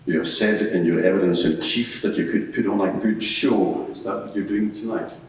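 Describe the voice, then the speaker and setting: A man's voice: low-pitched, moderate pace, a little hiss or hum, close to an everyday voice. Scornful state lawyer played by a man, hugely echoey. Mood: sceptical, probing. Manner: formal, rhetorical, dismissive. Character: scornful Roman state procurator arguing at an inquiry. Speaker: advocate in a mock court inquiry. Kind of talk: courtroom cross-examination and closing submission. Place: large echoing courtroom, live event. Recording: very echoey hall, far from the mic.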